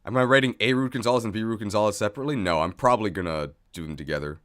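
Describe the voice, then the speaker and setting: A man's voice: on the low side, rapid-fire, fairly bright tone. A man hosting a livestream, chatting casually and thinking aloud in a jokey, self-deprecating way. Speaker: streamer, video host. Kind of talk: casual livestream chat while setting up.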